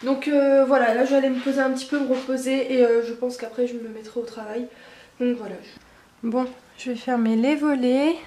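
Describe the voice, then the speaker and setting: A woman's voice: high-pitched, steady tone, fairly quick, mellow, roomy sound. A young woman vlogging in a casual, chatty way, stuffy from a cold, with many fillers and restarts. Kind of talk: casual daily vlog chat.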